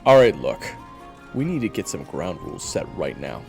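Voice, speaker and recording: Low-leaning gravelly voice, wide swings in pitch, grumpy but caring hunter, acted by a man, wry, exasperated, audio roleplay. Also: very animated, moderate pace, darkish tone, faint music behind, heavily acted. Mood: stern, annoyed. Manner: exasperated, wry, conversational, sighing. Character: brooding, grumpy but caring young male hunter telling stories. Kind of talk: intimate audio roleplay acting and storytelling.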